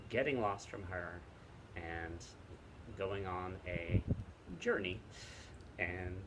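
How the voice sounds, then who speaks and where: A middle-aged man with a lowish voice talks casually about books as a teacher would. He pauses often mid-sentence, and wind blows clearly over the outdoor recording. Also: a bit bright, poor audio. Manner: halting, pausing mid-sentence. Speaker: teacher and read-aloud co-host. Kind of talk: casual book recommendations and reading aloud. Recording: wind noise on the microphone.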